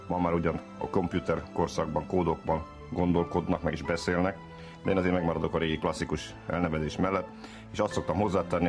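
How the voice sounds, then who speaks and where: Very deep-voiced man, deliberate and even. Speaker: singer and chess player guest. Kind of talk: reflective spoken introduction to a song.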